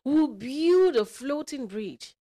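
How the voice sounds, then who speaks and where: A woman voicing a wise, calm animal leader in a children's story, speaking earnestly and deliberately. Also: high voice, voice pushed up. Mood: earnest, encouraging.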